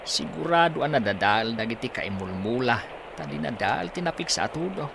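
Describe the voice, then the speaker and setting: An actor playing a weary, sympathetic farmer uncle in a radio drama. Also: male voice, medium pitch, very animated, normal speed, vocal fry. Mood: weary, worried. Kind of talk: acted radio-drama dialogue. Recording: faint background noise.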